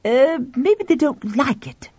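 Agitated male scientist read by a woman: urgent, analytical, halting audiobook dialogue, pushed up in pitch, animated. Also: no room sound, lo-fi sound, full character voice. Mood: urgent, alarmed.

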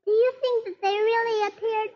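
A woman's voice: extremely high pitch, little pitch movement, a little fast. A woman playing an eager, polite little girl, gushing and wide-eyed, in old-time radio acting. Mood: curious. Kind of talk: 1940s-50s radio acting. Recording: dull old radio recording.

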